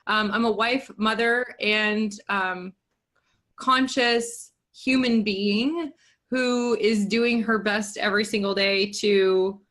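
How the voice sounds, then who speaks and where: A woman's voice: mid-range voice, a bit bright. A woman in her thirties talking candidly as a guest on a podcast about her work as a yoga and business coach, chatty and self-deprecating. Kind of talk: podcast interview.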